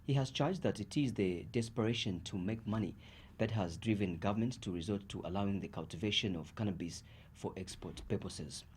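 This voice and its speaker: A man with a Zambian accent reads scripted news in a fairly low voice. He delivers it as a bulletin presenter. Some noise sits behind his voice. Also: fairly quick, darkish tone. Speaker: news reader.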